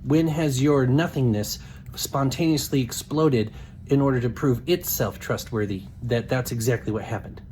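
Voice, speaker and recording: Insistent man arguing over clear background noise. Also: mid-range voice, moderate pace, a little mellow. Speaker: religious apologist, video maker. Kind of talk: argumentative apologetics talk.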